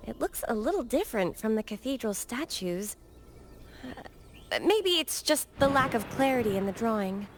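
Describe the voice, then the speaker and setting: An actress voicing a gentle, earnest young female scholar in a video game, speaking in a careful, hesitant manner. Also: a little high, sing-song, moderate pace, noisy background.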